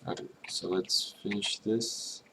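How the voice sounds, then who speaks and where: Male streamer, lowish voice, tentative musing commentary, faint hiss and typing in the background. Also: steady tone, takes it slowly, vocal fry, fairly bright tone. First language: English. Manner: musing, tentative, mutters Japanese readings to himself. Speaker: streamer translating a game. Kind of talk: casual thinking-aloud commentary.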